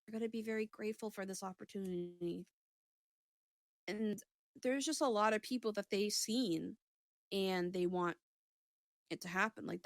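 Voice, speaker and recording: A woman with a medium-pitched voice in a dry, close recording, talking casually as she does an intuitive reading. She feels her way through each thought, halting and restating it in a tentative manner. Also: vocal fry, no echo. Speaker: psychic reader on a talk show.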